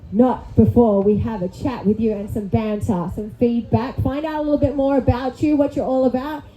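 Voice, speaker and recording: A high, chatty woman emcees a live comedy night into a handheld mic on a club stage. Her tone is soft and mellow, and she keeps tagging her lines with "okay?" as she speaks to the room. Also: fairly even intonation, moderate pace, dull audio, slight background noise. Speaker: host. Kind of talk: upbeat live emcee patter.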